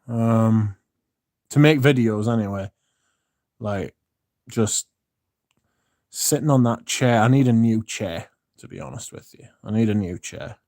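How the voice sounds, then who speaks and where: A man's voice: lowish voice, measured pace, pauses often, a little mellow, no echo, clean studio sound. A tired man, chatting casually and rambling on a livestream, swearing often and restarting sentences. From Britain. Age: 30s. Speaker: streamer. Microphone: phone.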